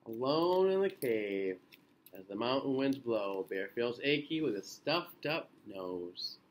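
A man in his thirties reading a children's picture book aloud in an expressive, sing-song way with drawn-out phrases. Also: medium pitch. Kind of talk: children's storybook read-aloud. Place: small indoor room.

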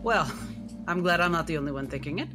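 A woman playing a courteous, wry female doctor who speaks politely and with gentle hesitation. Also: on the low side, expressive, fast, over music, natural voice.

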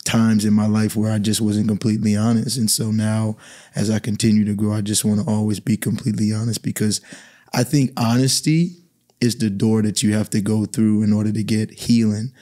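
A man in his thirties from the Bahamas, a basketball coach talking calmly as a podcast guest in a small studio. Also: lowish voice, a little fast. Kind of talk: podcast conversation. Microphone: studio mic on boom arm.

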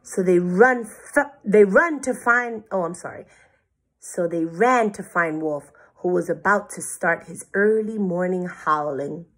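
A woman's voice: lowish voice, measured pace, a bit bright, slightly rough. A woman in her thirties reading a picture book aloud expressively for children. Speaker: storybook reader. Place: bedroom, indoors.

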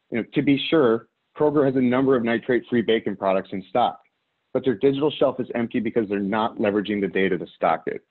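A man from the Chicago area giving a scripted business presentation, with measured, emphatic delivery. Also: medium pitch. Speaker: webinar presenter. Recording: phone-like narrow band audio.

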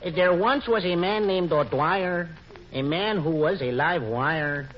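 An actor playing a lazy, wisecracking Mexican sidekick in broken English, with a Mexican accent, in 1940s radio comedy. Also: male voice, fairly high pitch, slow pace. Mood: reciting. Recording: muffled noisy 1940s radio, studio audience.

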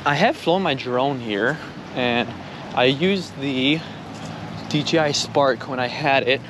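A man's voice: mid-range voice, slow pace. A man narrating an outdoor vlog in a casual, easygoing way, shrugging off setbacks and talking to his viewers. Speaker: outdoor vlogger. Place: outdoors by a lake and trails. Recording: outdoor recording with clear background noise.

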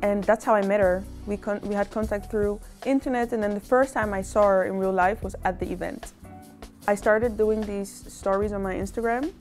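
A young woman speaks with earnest thought in a relaxed interview. Her pitch stays level and even as she talks. Gentle music runs underneath her. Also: normal speed. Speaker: interviewee, social psychologist. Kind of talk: casual reflective interview talk.

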